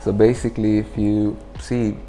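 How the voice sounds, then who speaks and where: A man's voice: on the low side, flat delivery, moderate pace, music in the background. A man in his twenties from the UAE, chatting informally as an interview guest and explaining things, on a lapel mic indoors. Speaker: interview guest, clothing brand founder. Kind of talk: casual interview talk. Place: furnished indoor lounge.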